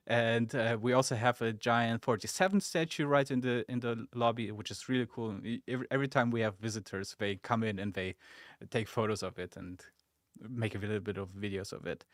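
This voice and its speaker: A young man from Germany, speaking German as his first language, hosts a casual livestream and answers viewer comments in a chatty, loose way full of fillers. His voice sits at a middle pitch and sounds close and dry, with no room sound. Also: moderate pace, dry recording. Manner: chatty and relaxed, with many fillers and asides. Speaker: social media community manager and stream host. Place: game studio office.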